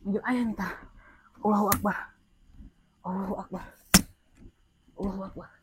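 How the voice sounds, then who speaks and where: A frightened woman shouting repeated prayers in panic and calling out for help. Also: medium pitch, fairly even intonation, normal speed, heavy background noise. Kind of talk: frantic real-time reaction.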